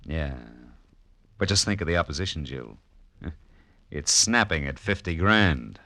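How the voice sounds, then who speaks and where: A man's voice: extremely low pitch, animated, a little slow, pushed and bright, no echo, clean studio sound, full character voice. An actor playing a tough, wisecracking male private detective with a clipped, sardonic delivery in old radio drama style. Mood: cool, sardonic. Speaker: lead actor and first-person narrator. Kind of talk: hard-boiled 1940s-50s radio detective acting. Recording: vintage radio drama with music.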